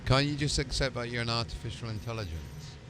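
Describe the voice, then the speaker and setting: A man plays a spaceship commander in a casual game stream. His intonation is lively and varied as he chats in role, with clear ship noise in the background. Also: mid-range voice, quick pace. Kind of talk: casual role-play chat with game AIs.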